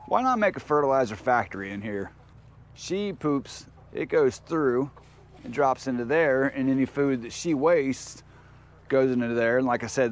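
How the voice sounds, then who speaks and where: A man's voice: mid-range voice, a little hiss or hum. A man chatting casually and informally as a homestead vlogger, using folksy slang, recorded outdoors on a handheld camera. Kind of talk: casual vlog chat, talking to viewers and animals. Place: outdoors in a backyard chicken run.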